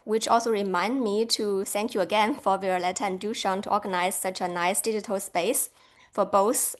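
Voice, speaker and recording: A woman from China giving a friendly academic lecture over a video call, laughing lightly now and then. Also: medium pitch.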